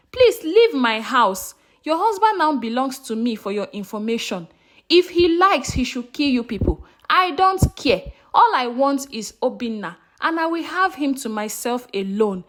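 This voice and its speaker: A woman storyteller performs a haughty, brazen young mistress from a folktale. Her voice is very high and swings up and down as she taunts and snaps with open contempt. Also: fairly bright tone. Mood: scornful, defiant. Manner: taunting, snapping insults.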